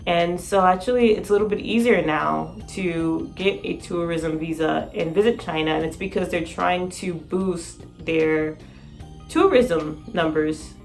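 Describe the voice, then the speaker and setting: A sleep-deprived woman with a raspy voice, chatting excitedly in a casual vlog. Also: lowish voice, measured pace, faint music behind. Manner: excited, rambling, laughs often. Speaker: vlogger.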